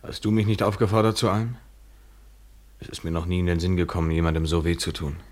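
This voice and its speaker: An actor playing a coolly courteous, domineering and evasive lover in a 1970s German radio drama, with measured, deliberate phrasing. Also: male voice, deep voice, fairly even intonation. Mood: cool, curt.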